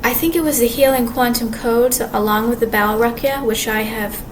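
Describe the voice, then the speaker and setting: A woman with a quiet voice talks casually to camera, rambling and halting with many restarts and fillers. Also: a little high. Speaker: vlogger. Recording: clear background ambience noise.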